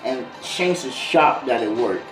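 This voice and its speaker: A man's voice: medium pitch, animated, a little slow. A man telling a story casually in slangy, rambling speech, improvising as he goes and drifting into tangents. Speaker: video host. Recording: roomy echo, music behind voice. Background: music beat.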